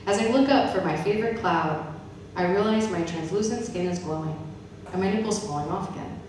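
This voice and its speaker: A very echoey, noisy recording of a woman at a live poetry reading. Her voice is on the low side and comes from some distance off the mic, in the manner of a spoken-word poet. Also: brisk, a little mellow. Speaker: poet and English professor reading her own poems. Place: reverberant event room with an audience.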